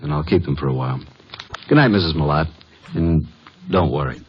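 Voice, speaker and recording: Radio mystery sleuth, American: very low voice, measured and probing, muffled noisy vintage recording. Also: male voice, over loud music. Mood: calm, probing. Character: composed, probing male private detective's assistant. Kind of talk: 1950s American radio drama acting.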